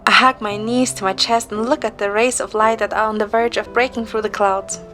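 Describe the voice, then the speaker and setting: A woman narrating a scripted first-person story in a measured, descriptive way. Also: a little high, fairly even intonation, sharp tone, soft background music.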